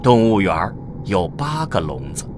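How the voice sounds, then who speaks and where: A man's voice: fairly low pitch, very animated, moderate pace. A man narrating a scripted radio drama as a storyteller. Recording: clearly noisy with ambient vehicle sound.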